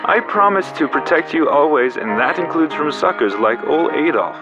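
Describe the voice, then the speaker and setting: A man's voice: fairly high pitch, lively intonation, moderate pace. A man playing an earnest, devoted sailor husband in a 1940s radio play, speaking in a stately, tender way. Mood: tender reassurance. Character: earnest, gallant American sailor, devoted elder brother and husband. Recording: dull radio-style audio, faint music.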